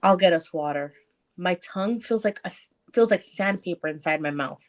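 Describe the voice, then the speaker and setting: A woman's voice: lowish voice, normal speed. A woman co-hosting a book club podcast, reading aloud dramatically and casually, breaking off to comment and swear.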